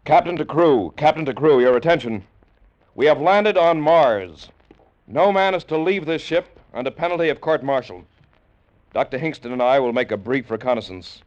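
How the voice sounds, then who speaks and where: An American actor playing a brooding, commanding rocket ship captain in an old-time radio drama, theatrical and ruminative. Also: male voice, medium pitch, darkish tone, no echo, lightly acted. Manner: theatrical, ruminative, with clipped official orders. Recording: dull vintage 1950s radio recording. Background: dramatic background music.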